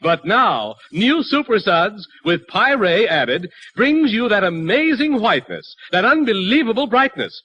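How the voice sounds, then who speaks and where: A man announcing and pitching a product on 1940s radio in an emphatic, salesmanlike way. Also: sing-song, a little slow, hardly any pauses, sharp tone, slightly rough, lo-fi sound. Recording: noisy 1940s radio broadcast.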